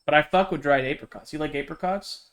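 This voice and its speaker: A man's voice: fairly high pitch, quick pace, fairly bright tone. A man streaming a game live, chatting casually with blunt, slangy, argumentative banter and reading dialogue aloud.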